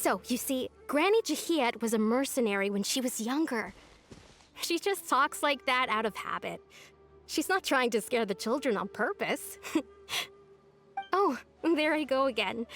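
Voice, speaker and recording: A gentle young village woman with a high, sweet voice. She speaks very slowly and hesitantly, trailing off, over soft game music. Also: lively intonation. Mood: shy. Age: young adult.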